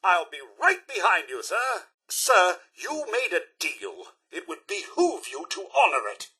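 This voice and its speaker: An actor playing a prim, loyal robot butler in a video game, with a British accent, a fussy formal manner and a raspy voice. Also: male voice, high-pitched, big pitch swings, unhurried, bright, forceful tone, heavily acted. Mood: politely disapproving. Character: prim, loyal male robot butler, polite and easily scandalized. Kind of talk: video game character voice lines.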